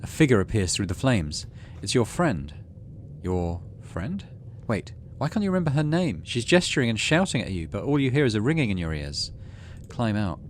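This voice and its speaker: Guy doing a game playthrough, lowish voice, kinda talking to himself, noise in the background. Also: sing-song, normal speed. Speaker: let's play host. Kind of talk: gameplay commentary and reading game text aloud.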